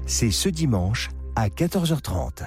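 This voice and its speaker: A man's voice: medium pitch, very animated, measured pace. A man reading a scripted radio promo as a programme announcer. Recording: radio promo over music. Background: music.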